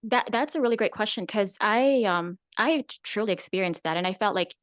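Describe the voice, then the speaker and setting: A woman's voice: mid-range voice, animated, fairly quick, no echo, clean studio sound. A woman from the Philippines, a guest content creator chatting casually in an interview, filling her talk with "like" and "right?". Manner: chatty, many "like" and "right?" fillers.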